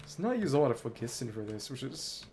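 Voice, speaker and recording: Casting: live gameplay commentator, medium pitch, muttered running remarks and read-aloud text, noisy recording with clicks. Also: very animated, brisk, darkish tone. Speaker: video game streamer. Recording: clear background noise, clicks.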